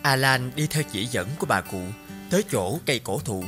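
A man's voice: medium pitch, lively intonation, fairly quick, loud music behind the voice. A man narrating a scripted fairy tale.

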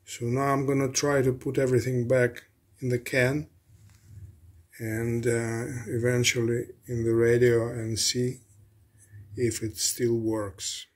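A man talks casually through hands-on repair work at his bench. His voice is middle-pitched and a little husky, and he takes his time. The delivery is halting and matter-of-fact, like an informal hobby video. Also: level tone. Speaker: hobbyist radio restorer. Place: indoor home electronics workbench.

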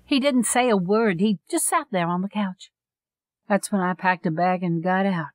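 A woman's voice: mid-range voice, normal speed, no room sound, studio quality, lightly acted. A woman playing an exasperated, frank and wry estranged wife in an audio drama, talking colloquially. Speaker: voice actor.

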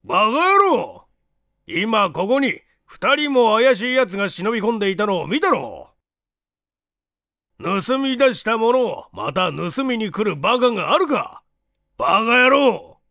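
Storybook old male scientist, acted by a man: short-tempered, imperious, barking, very animated high delivery. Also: measured pace, no room sound, studio quality, full character voice. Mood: irritated, barking. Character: irascible, domineering old male scientist, gruff and suspicious.

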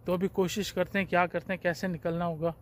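A man's voice: sits high, fast. Man vlogging on a night platform. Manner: chatty, relaxed, talks to the camera. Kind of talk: casual vlog narration. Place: outdoor train platform at night. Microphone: phone at arm's length. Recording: faint outdoor background noise.

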